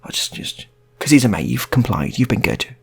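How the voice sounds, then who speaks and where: Husky British man improvising a story. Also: mid-range voice, big pitch swings. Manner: halting, repeats phrases. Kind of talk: improvised comic storytelling, casual and rambling.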